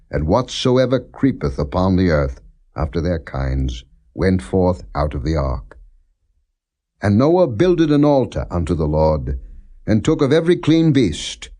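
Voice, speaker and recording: A man narrating scripture in a plain, non-dramatized reading, with a measured and solemn delivery. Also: deep voice, warm tone.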